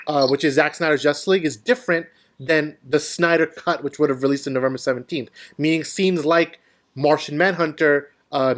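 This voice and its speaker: Higher-voiced man hosting a casual panel. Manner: explanatory, poses questions to panel. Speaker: host of a film discussion show.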